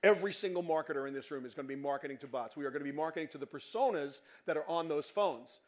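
A man's voice: on the high side, very fast. Emphatic middle-aged man giving a keynote. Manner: emphatic, confident predictions, pacing the stage. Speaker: keynote speaker. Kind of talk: conference keynote talk. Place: large conference stage.

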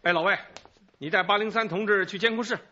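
A man's voice: high-pitched, fast, bright, forceful tone, dull audio. An actor playing a flustered, defensive male security guard leader in a radio crime drama. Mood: anxious. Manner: defensive, agitated.

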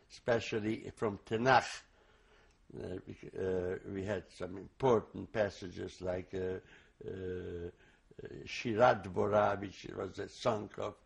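Older man, Hungarian native, testimony interviewee; gravelly, slow, halting with restarts. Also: lowish voice. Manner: halting, many restarts and fillers; slow, reflective recall. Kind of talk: oral history testimony.

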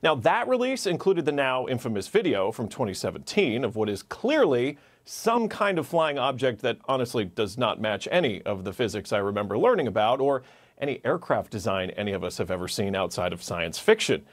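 A man's voice: mid-range voice, big pitch swings, a little fast. A man in his thirties delivering a scripted news report from a studio, with wry chatty asides. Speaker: news correspondent.

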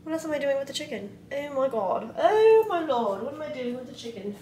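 A woman's voice: high voice, big pitch swings, a little fast, dark tone, slight background noise. A woman talking casually to camera in her home kitchen while she cooks, rambling with lots of "like" and "um". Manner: rambling, many "like" and "um" fillers. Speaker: vlogger and photographer. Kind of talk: casual vlog chat.

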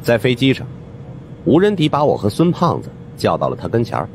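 A man narrating a supernatural mystery audiobook in the first person, in an expressive storytelling style. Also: mid-range voice, a little fast, a little hiss or hum. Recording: music under the voice.

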